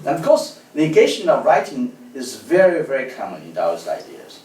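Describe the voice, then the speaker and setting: A man gives a university lecture as a guest lecturer, telling stories in an animated way with many fillers and restarts. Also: medium pitch, normal speed. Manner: lively storytelling, frequent fillers and restarts. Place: lecture hall. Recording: echoey hall, distant mic.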